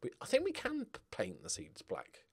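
A man's voice: medium pitch, huge pitch swings, normal speed, breathy edge. A middle-aged man giving casual, rambling commentary on a game, with a wry, self-mocking, jokey manner. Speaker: gaming video host.

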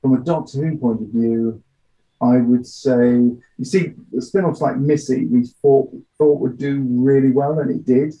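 Man, middle-aged, very dark mellow tone, measured interview talk, dull lo-fi call audio. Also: medium pitch, steady tone. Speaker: audio drama producer being interviewed. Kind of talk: conversational interview answers. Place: small home office, indoors. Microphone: computer mic on video call.

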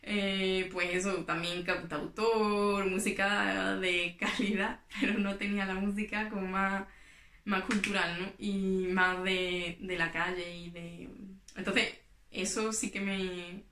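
A woman's voice: mid-range voice, level tone, a little slow, sharp tone, echoey room. A young woman from Spain chatting casually to camera as a knitting vlogger, explaining as she handles her work. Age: young adult. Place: small room in front of yarn shelves. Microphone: camera in front, at table distance.

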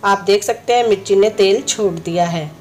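Slightly hoarse woman, mid-pitched, home cooking host, patient step-by-step delivery, faint music under. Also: normal speed, darkish tone. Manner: instructive, friendly, repeats steps. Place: home kitchen.

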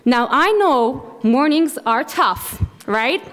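A woman's voice: sits high, lively intonation, takes it slowly, fairly bright tone, long reverb tail. A young woman with an Israeli accent moderating a conference session on stage, rousing the audience with a handheld microphone in a large ballroom. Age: young adult. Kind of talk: conference keynote introduction.

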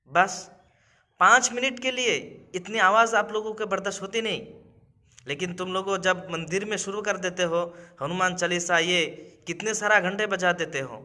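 A man's voice: high-pitched, steady tone, normal speed, pushed and bright. A man in his thirties giving emphatic commentary to camera in a small room, with rhetorical questions. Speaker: video commentator. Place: small indoor room with brick wall.

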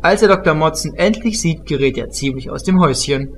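A man's voice: a little high, expressive, brisk. A man narrating a scripted comedy audio drama as its storyteller. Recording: noticeable background noise.

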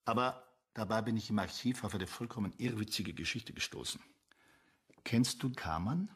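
An actor playing an earnest, conscientious intellectual man in a radio play, husky and argumentative. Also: lowish voice, moderate pace, fairly bright tone. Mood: subdued, serious. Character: Jakob Ammon, earnest, conscientious left-wing intellectual man.

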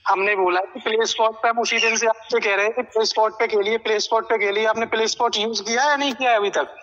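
A man on a recorded phone call, smoothly persuading the other person to make a payment. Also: high voice, fairly even intonation, quick pace, fairly bright tone, slight background noise. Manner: persuasive, smooth-talking salesman. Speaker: caller from a prediction service.

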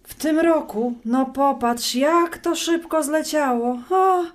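A woman's voice: high-pitched, slow pace. A woman playing a chatty, wry middle-aged widow in an audio drama, talking in a colloquial way. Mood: excited, surprised. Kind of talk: audio drama monologue and phone acting.

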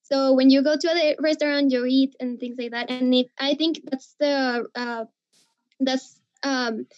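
High-voiced Mexican woman on a muffled call. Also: fairly even intonation, a little slow, a little mellow. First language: Spanish. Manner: hesitant, searching for words. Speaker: workshop participant. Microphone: video call. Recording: dull, lo-fi video call.

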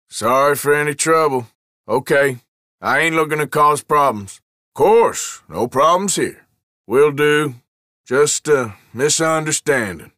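An actor playing an irritable, wary Old West outlaw in a video game, with a rural American drawl and a folksy, sardonic, defensive manner. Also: male voice, a little high, bright, forceful tone, dry recording, clean studio sound, heavily acted. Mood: placating. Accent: American, rural Western drawl. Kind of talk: game voice lines, short barks.

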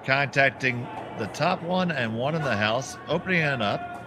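A man's voice: mid-range voice, lively intonation, moderate pace, over music. A man with a Philadelphia accent calling a curling match as play-by-play commentator, bantering playfully with his partner. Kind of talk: sports commentary.

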